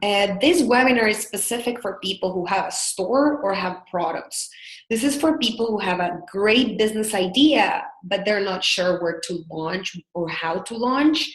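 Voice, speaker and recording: A woman's voice: medium pitch, expressive, normal speed, roomy sound, rough recording. A woman from El Salvador with a Salvadoran Spanish accent, a business coach giving an earnest, upbeat live webinar with frequent filler words.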